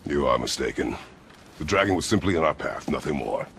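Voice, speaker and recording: Game role: terse, imposing warrior father, bottomless bass, gravel-heavy, solemn and commanding. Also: sing-song, fairly quick, a bit bright, full character voice. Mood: stern, dismissive. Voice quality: gravelly, rumbling. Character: stern, terse, imposing warrior father, middle-aged, disciplined. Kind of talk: video game cutscene acting.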